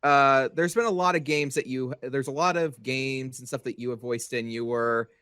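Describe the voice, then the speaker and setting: A man's voice: medium pitch, fairly even intonation, moderate pace, fairly bright tone, a little hiss or hum. A man hosting a podcast, putting questions to a guest in casual chat. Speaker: podcast host asking questions.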